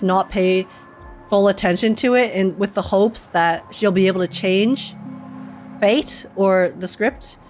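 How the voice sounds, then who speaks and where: A woman streamer giving informal, giggly, casual reaction commentary on a video game. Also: medium pitch. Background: game music.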